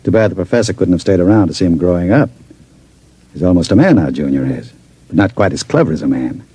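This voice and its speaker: Deep, swaggering gang boss. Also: male voice, lively intonation, quick pace, mellow. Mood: smug, reassuring. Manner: smooth-talking, condescending. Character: smug, menacing male gang boss, coolly ruthless. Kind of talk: old-time radio crime acting.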